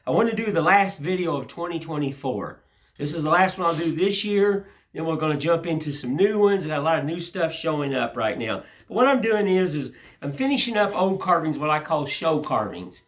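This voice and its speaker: Older man, hoarse and raspy from a cold; folksy rambling host, slightly higher voice. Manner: rambling, homespun, folksy filler phrases. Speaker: woodcarving channel host. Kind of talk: casual chat to camera.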